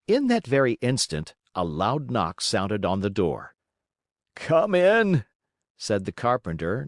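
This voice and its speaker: A man narrating a children's story in a measured, expressive, scripted reading. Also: fairly low pitch, no echo, clean studio sound.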